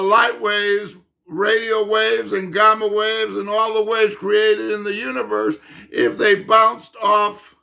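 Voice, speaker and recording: Slow, gravelly man losing his voice. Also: high-pitched, pushed and bright. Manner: insistent, emphatic, repeats himself; halting, with many fillers and restarts. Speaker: channel host explaining physics. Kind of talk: unscripted monologue to camera.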